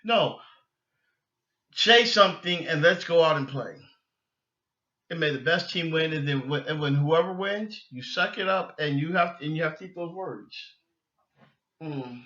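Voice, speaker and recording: A middle-aged man hosting a sports podcast solo, giving opinions emphatically and haltingly, repeating phrases as he goes. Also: a little high, lively intonation, pushed and bright, muffled recording.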